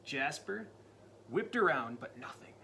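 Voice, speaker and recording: Animated man reading a spooky storybook. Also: a little high, unhurried, vocal fry, a little hiss or hum. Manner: dramatic, drops to spooky whispers and jumps to loud cries; playful asides to the listening child. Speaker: parent reading a picture book aloud to a child. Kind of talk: storybook read-aloud.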